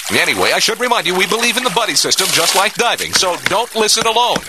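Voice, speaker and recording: A man's voice: high-pitched, very animated, fast, very noisy. A man doing a creepy thing crammed in a radio. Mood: sly teasing. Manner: sly, teasing, suggestive. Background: loud music.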